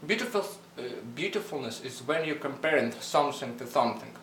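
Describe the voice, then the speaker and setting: A young man with a Russian accent, vlogging in casual halting English, blunt and swearing often. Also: on the high side, expressive, fairly bright tone. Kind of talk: casual rambling vlog. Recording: echoey room, mic at a distance, faint hum.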